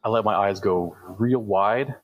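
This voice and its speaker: A man's voice: lowish voice, a little slow, dry recording, lo-fi sound. A man playing a tabletop game, describing his character's moves step by step in the first person.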